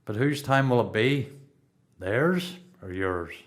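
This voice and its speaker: A man's voice: medium pitch, animated, slow. A man with a Belfast accent playing a seasoned prisoner in a radio play, a blunt mentor who talks in terse, instructive lines. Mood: blunt, cynical. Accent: Belfast Northern Irish.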